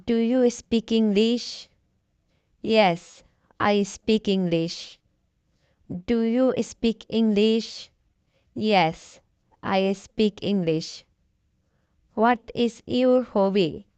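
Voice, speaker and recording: A woman slowly reading short beginner conversation drills for an English lesson, each line spoken with deliberate care. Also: medium pitch, darkish tone, clear, resonant tone, no room sound. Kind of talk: slow scripted conversation drill.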